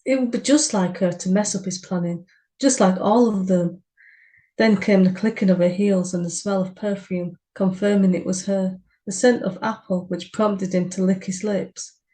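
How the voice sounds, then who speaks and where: Woman reading her book's prologue on a podcast, mellow warm voice, steady pace. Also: mid-range voice, moderate pace. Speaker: podcast co-host, author. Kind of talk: casual chat and reading a book prologue.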